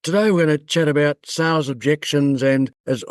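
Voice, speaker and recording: A man hosts a podcast interview in a friendly way, stopping often on fillers. His voice is fairly high for a man. The sound is dry and close. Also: no echo. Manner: friendly, halting with many fillers. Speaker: podcast host. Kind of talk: casual interview chat.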